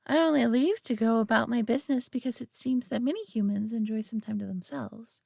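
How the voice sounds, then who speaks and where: A woman voicing a possessive, doting alien who coos over her pet human in an intimate roleplay audio drama, coddling and softly condescending. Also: fairly high pitch, brisk, creaky, dry recording, studio quality. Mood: soothing, possessive.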